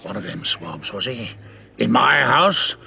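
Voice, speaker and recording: Audio drama role: crafty, jovial old sea cook, gravelly and bright, salty dialect. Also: male voice, mid-range voice, very animated, measured pace, heavily acted. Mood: stern, blustering. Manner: hearty, salty seafarer's dialect. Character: jovial, crafty one-legged old sailor and innkeeper. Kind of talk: dramatised audio adventure acting.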